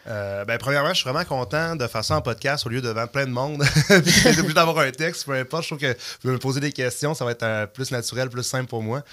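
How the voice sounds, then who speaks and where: A man in his thirties with a Quebec French accent talks loosely in a small studio, circling his thoughts with fillers and restarts. His tone is bright and clear, at an ordinary pitch for a man. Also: fast. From Canada. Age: 30s. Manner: relaxed, rambling, many fillers and restarts. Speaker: guest entrepreneur. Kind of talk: casual interview talk. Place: small studio behind plexiglass.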